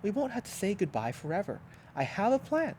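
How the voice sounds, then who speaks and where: A man voicing a calm, gentle male teacher in a children's storybook reading, speaking with steady assurance. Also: high-pitched, a little fast, slight background noise, pitched above the performer's own voice. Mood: reassuring.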